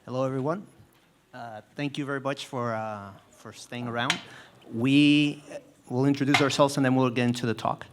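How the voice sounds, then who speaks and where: A man's voice: medium pitch, steady tone, normal speed. A man introducing himself as a speaker on a conference stage, recorded with some noise and clicks. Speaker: panel speaker.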